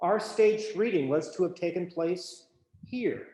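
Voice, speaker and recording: A man, a playwright, addresses the audience in a theatrical monologue, wry and halting, given to wordplay. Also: high-pitched, expressive, warm tone.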